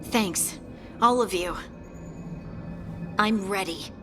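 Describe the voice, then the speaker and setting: Earnest heroine, music behind. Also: female voice, mid-range voice, very slow. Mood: resolute. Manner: plain-spoken, earnest. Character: earnest, determined young dwarf woman scout. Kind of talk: video game voice acting. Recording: music under the voice. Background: game music.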